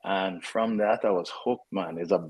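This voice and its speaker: A Jamaican man in his fifties, a soccer head coach, talking casually in an interview with relaxed fillers and restarts. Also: low voice, normal speed.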